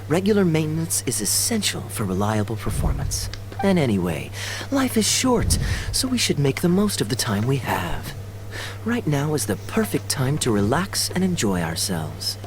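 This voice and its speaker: An actor voicing a suave, teasing young male knight in a fantasy video game, smooth and playfully eloquent. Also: mid-range voice. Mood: coaxing. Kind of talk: polished video game character acting. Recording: game dialogue over background music.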